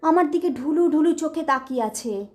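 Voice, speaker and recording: A woman's voice: high-pitched, animated, normal speed, a little mellow. A woman reading a story aloud in a dramatic storytelling style. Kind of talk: dramatic audio story narration.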